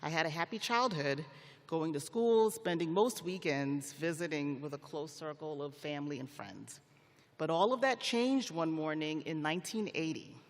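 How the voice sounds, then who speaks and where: A middle-aged man speaks from a podium in a large arena, his fairly high voice carried with long reverb. He delivers a prepared ceremonial address as a judge would, in measured, formal phrases with deliberate wording. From Liberia. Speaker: commencement speaker, federal magistrate judge. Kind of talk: prepared commencement speech.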